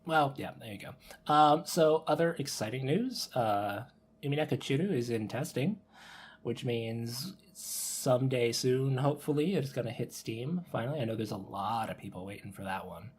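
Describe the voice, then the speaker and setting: A man's voice: medium pitch, lively intonation, normal speed, a little hiss or hum. A man hosting a podcast, casually running through news in a hesitant, hedging way. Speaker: podcast host.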